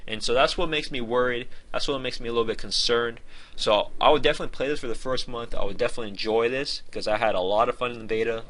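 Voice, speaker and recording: A man's voice: medium pitch, fairly bright tone, low-quality recording. A man giving an informal game review in a conversational, opinionated and emphatic way.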